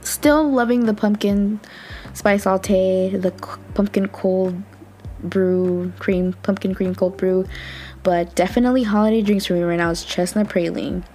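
A young woman from Southern California hosting a podcast in casual, bubbly chat, her voice a little raspy from just waking up. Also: mid-range voice, fairly even intonation, unhurried, slight background noise. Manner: chatty, bubbly, laughs often, quiet morning talk. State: slightly raspy morning voice. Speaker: podcast host.

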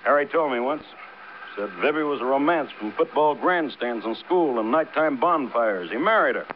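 A man's voice: mid-range voice, expressive, moderate pace, lightly acted. An American man acting a talkative, tough construction crew boss in a vintage radio drama, with slangy, clipped, breezy tough talk. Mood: wry. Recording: muffled, noisy vintage radio recording.

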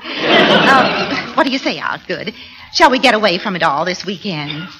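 A woman's voice: medium pitch, sing-song, a little slow, very noisy. An actress playing a cheerful, sweetly dotty middle-aged wife and mother in a classic radio sitcom. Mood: pleased, fond.

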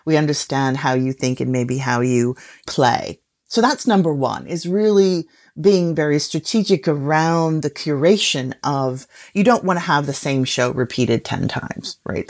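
Female expert guest, low register, conversational and explanatory, tags points with quick check-in questions. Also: a little mellow. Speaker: podcast industry guest expert.

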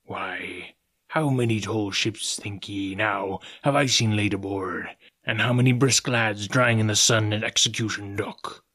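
A man's voice: lowish voice, a little slow, a bit bright, breathy edge. A man voicing a cunning, domineering pirate cook from a storybook, scolding and scheming in rustic seafaring speech. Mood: scornful.

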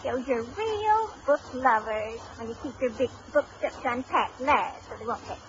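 A woman's voice: high-pitched, lively intonation, normal speed. An actress playing a prim, genteel lady librarian with a proper, precise manner in an old-time radio comedy. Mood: prim, polite. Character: prim, polite public librarian lady making a social call. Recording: muffled, noisy radio recording with audience.

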